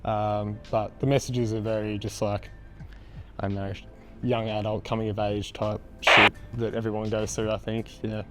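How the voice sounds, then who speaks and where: A young Australian man with a raspy voice, a band frontman answering interview questions in a laid-back, hesitant way with lots of ums. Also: on the low side, level tone, moderate pace, music in the background.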